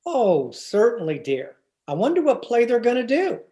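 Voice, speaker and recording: A woman's voice: fairly high pitch, huge pitch swings, moderate pace, dark tone. A woman playing a teasing, stubborn husband in old-time radio comedy, with a theatrical, wry and exasperated delivery. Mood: skeptical, teasing. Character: George, teasing, stubborn husband of a 1940s housewife. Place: remote video-call production.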